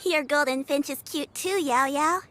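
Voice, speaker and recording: A woman's voice: high-pitched, normal speed, bright, forceful tone, slight background noise. A woman voicing a cheerful, friendly young woman in a game. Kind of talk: game voice acting.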